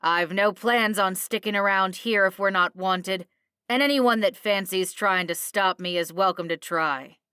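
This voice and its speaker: A woman voicing an enormous, furious male warrior in a storybook, forceful and defiant. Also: high-pitched, unhurried, smooth, no echo, studio quality.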